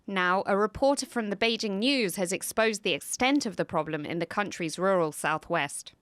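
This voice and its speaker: A woman reporter narrating a scripted news report in a measured, serious voice-over. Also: medium pitch, fairly bright tone, no room sound.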